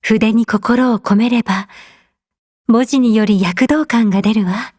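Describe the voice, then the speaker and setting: Refined, caring young game heroine, voiced by a woman: gentle and devoted, soft graceful phrasing, raised pitch, very dry sound. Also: brisk, no echo, natural voice. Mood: composed, instructive. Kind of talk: scripted Japanese game character voice lines.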